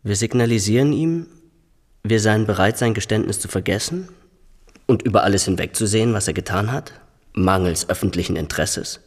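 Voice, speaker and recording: An actor playing a calculating police investigator in a radio drama, speaking in a deliberate, persuasive way. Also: male voice, on the low side, animated, husky. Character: male police investigator calmly proposing a scheme. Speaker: police colleague. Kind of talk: German radio drama acting. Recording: reverberant room.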